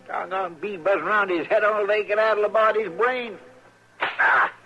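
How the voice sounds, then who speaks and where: An actor playing a folksy, excitable, deferential western sidekick with a rural American drawl, rambling and fussing in 1950s radio style. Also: male voice, sits high, normal speed, muffled recording. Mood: flustered, fussing. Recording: noisy, crackly old radio recording.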